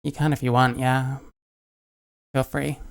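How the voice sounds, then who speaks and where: Deadpan British woman with a creaky voice. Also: a bass voice, measured pace. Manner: flat, offhand and deadpan, with short answers. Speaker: streamer. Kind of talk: casual chat reading and answering viewer comments.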